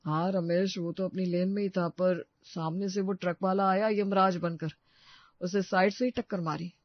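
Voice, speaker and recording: A weary, sentimental old patriarch acted by a man in a cartoon family story. His voice is notably high for a man and earnestly emotional. The recording is close and dry, slightly muffled. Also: steady tone, moderate pace, no echo. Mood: mournful. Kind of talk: Hindi cartoon story voice acting.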